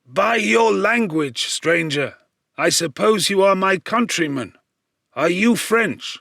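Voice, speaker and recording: A kindly old blind man, played by a male audiobook reader, speaks in a raised, high voice. He is gentle and courteous, taking his time with earnest, measured phrases. The sound is dry and close. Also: animated, vocal fry, dry recording, heavily acted. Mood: courteous, inquiring. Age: older. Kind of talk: audiobook character voice.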